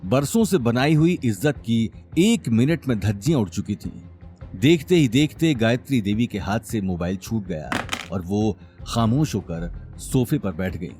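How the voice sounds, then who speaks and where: A man's voice: on the low side, animated, unhurried, darkish tone, soft background music. A man narrating a scripted story in a dramatic style.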